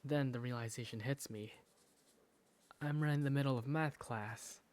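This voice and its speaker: A man's voice: mid-range voice, normal speed, a little mellow, natural voice. A man as sleepy, self-conscious schoolboy narrator. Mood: reflective. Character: sleepy, embarrassed teenage schoolboy narrating his own day. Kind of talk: first-person visual novel narration and dialogue.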